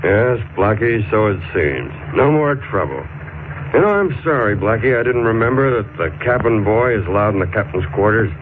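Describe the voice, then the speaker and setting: An actor playing a genial, polite ship's captain in a 1940s radio drama. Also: male voice, lowish voice, animated, normal speed, lightly acted. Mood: genial, apologetic. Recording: tinny, faintly noisy 1940s radio recording.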